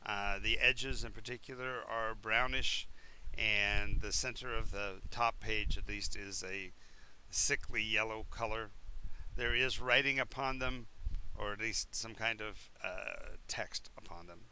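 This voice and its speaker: A man's voice: lowish voice, fairly even intonation, measured pace, pushed and bright, slight background noise, low-quality recording. A man running a tabletop role-playing game, describing rooms at length with many fillers and restarts. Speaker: game master.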